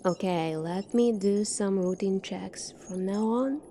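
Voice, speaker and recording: A soft-spoken woman doing an ASMR roleplay as a calm military doctor, asking questions in a measured way. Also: mid-range voice, a little hiss or hum. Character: calm seasoned future military doctor examining a space recruit.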